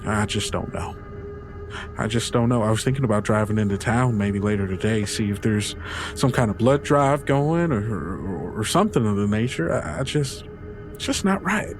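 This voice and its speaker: A man plays a neighborly country woman in a roleplay podcast. The phrasing is folksy and rueful, with hesitant repeats, lifted somewhat from a low natural register. Music runs underneath. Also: animated, moderate pace. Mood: troubled, rueful. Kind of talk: improvised in-character tabletop roleplay.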